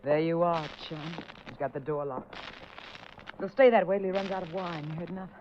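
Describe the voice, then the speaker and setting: An actress playing a sarcastic, prickly gold-digger wife in a 1940s radio drama, curt and wisecracking. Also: female voice, on the low side, a little fast, close to an everyday voice. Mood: sardonic. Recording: noisy, dull 1940s radio recording.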